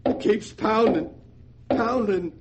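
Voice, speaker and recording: An actor playing a tormented, hard-boiled gangster in a 1940s radio drama, with rough, slangy tough-guy talk. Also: high voice, takes it slowly, heavy background noise. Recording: vintage 1940s radio recording.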